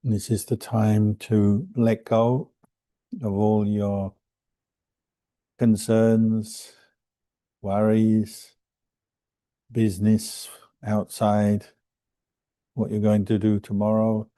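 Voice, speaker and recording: A man guiding a meditation as a monk and teacher, speaking calmly and gently with unhurried pauses between phrases. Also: fairly low pitch, dry recording. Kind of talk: guided meditation instruction.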